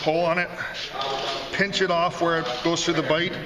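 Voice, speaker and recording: A man's voice: fairly high pitch, little pitch movement, moderate pace. A man giving a hands-on tutorial as an instructor, explaining step by step. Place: workshop with concrete floor, indoors. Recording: echoey room, loud background noise, clicks.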